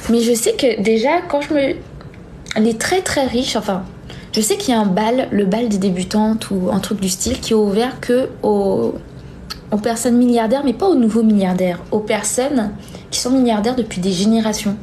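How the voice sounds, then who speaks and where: Mellow, higher-voiced young woman. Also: normal speed, slight background noise. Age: young adult. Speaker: live-stream host. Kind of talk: casual chat, reading and answering viewer comments.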